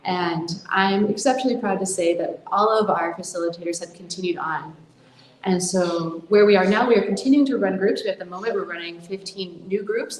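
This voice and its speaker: A woman's voice: mid-range voice, darkish tone. An American woman in her thirties presenting her nonprofit in a conference talk, earnest and full of ums and small restarts. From United States. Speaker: nonprofit co-founder giving a talk. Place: conference hall. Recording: roomy hall sound.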